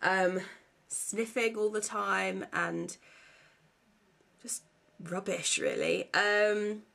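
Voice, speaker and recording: A woman's voice: medium pitch, fairly even intonation, extremely slow, gravelly, fairly bright tone. A young woman, chatting casually to camera at home, rambling with lots of fillers, her nose blocked and congested. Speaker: vlogger.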